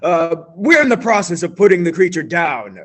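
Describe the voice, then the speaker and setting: A man's voice: sits high, big pitch swings, normal speed, fairly bright tone, full character voice. A man playing a frazzled male studio announcer in a school radio play, jumpy and flustered.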